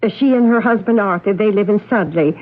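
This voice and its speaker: A woman's voice: medium pitch, brisk, lightly acted. An actress playing a homely, accommodating middle-aged farm mother with a hesitant, placating way, in a radio drama. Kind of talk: 1970s radio drama acting. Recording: dull lo-fi radio broadcast recording.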